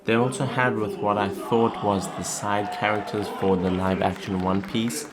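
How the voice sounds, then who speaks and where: A man's voice: on the low side, flat delivery, moderate pace, crowd noise. A man hosting a vlog, narrating in voice-over in an informal, conversational way. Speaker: vlog host.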